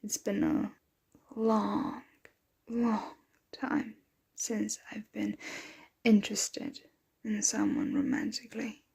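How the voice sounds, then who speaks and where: Breathy half-whisper, slow pace, ancient female vampire played by a woman, doting, seductive, wistful, ASMR roleplay. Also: mid-range voice, little pitch movement, a little mellow, heavily acted. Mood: wistful, intimate. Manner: slow, tender, soothing, refined wording.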